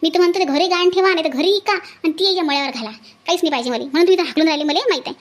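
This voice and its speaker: A woman voicing a spiteful, sulking aunt in an amateur cartoon comedy, sharp-tongued and sarcastic, with a Varhadi Marathi accent. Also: very high voice, racing. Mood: bitter sarcasm. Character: spiteful, sulking aunt refusing a wedding duty.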